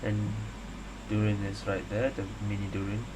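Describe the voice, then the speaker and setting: Very low-voiced woman gamer, casual. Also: little pitch movement, moderate pace. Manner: muttering reactions, thinking aloud. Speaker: gamer playing and reacting. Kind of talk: casual game commentary. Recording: noisy home mic with hum.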